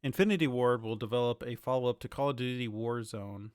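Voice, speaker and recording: Casting: male gaming podcast co-host, medium pitch, informal and joking, recorded dry without room sound. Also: fairly even intonation, brisk, clean tone, no room sound. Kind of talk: casual gaming podcast chat.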